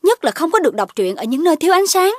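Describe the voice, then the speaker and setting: A woman's voice: very high voice, sing-song, fast, darkish tone, dry recording, studio quality. A woman voicing a concerned mother dog in a children's cartoon, scolding and instructive. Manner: admonishing, instructive. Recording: music under the voice.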